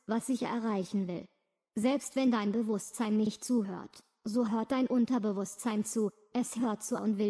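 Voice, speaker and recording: A woman reading a slow, soothing, repetitive guided hypnosis script. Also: fairly high pitch, warm tone. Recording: long reverb.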